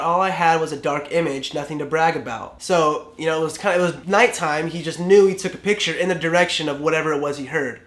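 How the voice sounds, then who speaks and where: A man's voice: fairly high pitch, a bit bright. Congested young man, echoey room. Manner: laid-back, chatty, frequent fillers. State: sick and congested. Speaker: vlog co-host reading a story. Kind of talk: casual chat and reading aloud.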